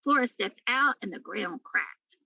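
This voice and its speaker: A woman's voice: medium pitch, expressive, a little slow, vocal fry, pushed and bright, no room sound, lo-fi sound. A woman reading a children's story aloud as a teacher would for pupils. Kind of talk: storybook read-aloud narration.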